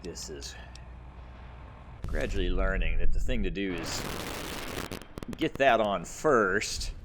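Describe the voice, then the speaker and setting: A man's voice: low-pitched, expressive, takes it slowly. Man, gaming host, casual commentary, musing aloud, very varied intonation, faint background noise. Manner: muses aloud while playing.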